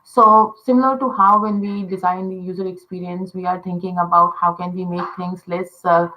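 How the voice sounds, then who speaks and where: A woman's voice: on the low side, flat delivery, normal speed, dull audio, slight background noise. A woman from India with an Indian accent, a native Telugu speaker, explaining conversation design in casual talk full of fillers like "uh" and "you know".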